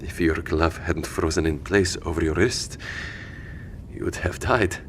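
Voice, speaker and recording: An actor playing a kindly, flustered civilian man in an audio drama, with a hesitant, gentle, wordy manner. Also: low-pitched, unhurried, slight background noise. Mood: gentle, explaining. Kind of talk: scripted audio drama acting.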